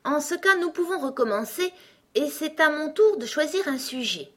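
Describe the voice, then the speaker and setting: A woman's voice: high-pitched, lively intonation, moderate pace, fairly bright tone, voice pushed up. A woman voicing a pompous, irritable male talking egg in a storybook, with a haughty, emphatic delivery. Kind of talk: storybook character acting.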